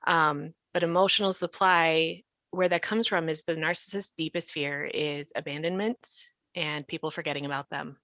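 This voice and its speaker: A woman's voice: sits low, fairly even intonation, normal speed, fairly bright tone. A woman explaining things emphatically in a podcast discussion, as a writer on the panel. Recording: muffled, roomy sound.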